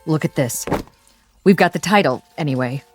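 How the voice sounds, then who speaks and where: Modest female research assistant for radio drama, lowish lively voice, earnest and hesitant. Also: normal speed, over music. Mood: urgent. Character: earnest, modest female codebreaking assistant bringing urgent findings. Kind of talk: audio drama acting.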